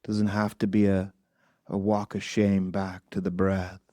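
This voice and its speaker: Very slow, low-voiced man guiding meditation. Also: completely flat delivery, mellow, dry recording. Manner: unhurried, with long pauses between short phrases. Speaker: meditation teacher. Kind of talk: guided meditation.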